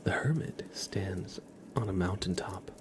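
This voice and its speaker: A man hosting an ASMR stream, speaking softly and often in a whisper, gently and casually as if chatting with viewers. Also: low voice, a little slow. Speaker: ASMR livestream host. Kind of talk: ASMR, soft-spoken and whispered.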